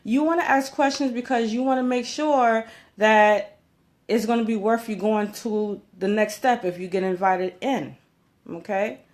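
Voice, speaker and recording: A woman's voice: a little high, steady tone, a little slow, a little mellow. A woman speaking as a career coach in an informal advice vlog, conversational and emphatic, often checking in with her listeners. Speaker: career coach giving job interview tips.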